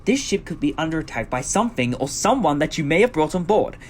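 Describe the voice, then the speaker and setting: A man's voice: fairly high pitch, very animated, fast talker, a little hiss or hum. Man playing an eccentric time traveller, swooping intonation. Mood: urgent, assertive. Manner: theatrical, rambling with hesitations. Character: eccentric time-travelling alien adventurer, chatty, apologetic yet bold. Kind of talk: audio drama acting. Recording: voice under loud music.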